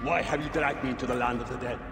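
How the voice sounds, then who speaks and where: A man's voice: mid-range voice, fairly even intonation, fast talker, very noisy, close to an everyday voice. A man playing an indignant, threatening slain lawman who accuses his killer in a dramatic video game. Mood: accusing. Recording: echoing long reverb, music behind.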